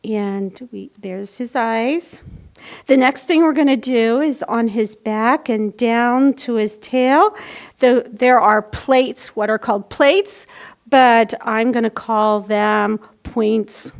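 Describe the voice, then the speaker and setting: A woman's voice: fairly high pitch, slow. A woman presenting a library storytime, casually explaining a children's craft in simple steps.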